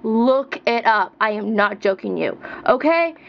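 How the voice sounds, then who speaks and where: A young woman in her twenties vents at the camera, her voice high and insistent as she repeats her points with rising pitch. The sound is dull and lo-fi, like a cheap webcam mic. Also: animated, measured pace, gravelly. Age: 20s. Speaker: vlogger. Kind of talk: heated rant to camera.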